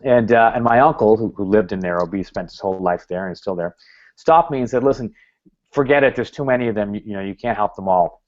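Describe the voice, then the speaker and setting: A man's voice: lowish voice, fast, a little mellow. A man giving a talk to a club, rambling with many fillers and restarts. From Canada. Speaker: guest speaker. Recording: dull lo-fi recording.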